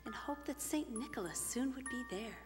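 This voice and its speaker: An actress playing a gentle woman who recites verse in a stage drama. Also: on the high side, expressive, moderate pace, natural voice. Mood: gentle, festive. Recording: reverberant hall, music behind.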